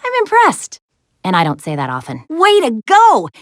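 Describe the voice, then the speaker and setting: An actress voicing a plucky, sassy young woman adventurer in a video game, expressive and wry as she briefs heroes. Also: on the high side, normal speed, no room sound, clean studio sound. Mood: impressed, cheering. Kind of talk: animated video game character lines, giving mission briefings.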